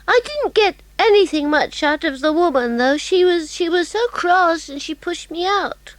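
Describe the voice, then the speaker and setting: A woman playing an excitable, kind-hearted young English schoolgirl in a dramatised audiobook, breathless and exclamatory. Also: very high voice, normal speed, dry recording, poor audio. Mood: puzzled, chatty.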